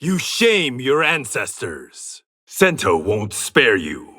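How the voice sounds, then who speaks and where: An actor playing a proud, resolute blind swordsman in a video game, with a husky voice and a terse, defiant delivery. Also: mid-range voice, wildly swooping pitch, slow pace, pushed and bright, exaggerated voice. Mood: stern, defiant. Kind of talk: video game combat voice lines.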